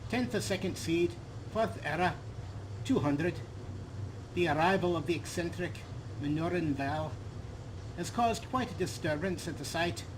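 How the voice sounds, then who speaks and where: A man's voice: fairly high pitch, lightly acted. A man reading a game journal aloud as an earnest, fussy fantasy scholar, formal and emphatic. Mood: pleased, hopeful, then indignant. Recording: clear background noise under voice.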